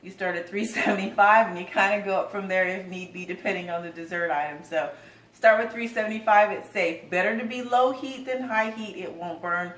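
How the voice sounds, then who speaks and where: A woman giving a relaxed, chatty recipe demonstration at home, talking with plenty of fillers. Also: mid-range voice, a little fast, roomy sound, recorded from a distance. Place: home kitchen.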